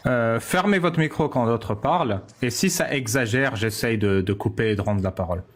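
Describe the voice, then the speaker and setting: A calm male moderator in an online debate with a mid-range voice. He sets the rules plainly and passes the floor between speakers. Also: mid-range voice, moderate pace.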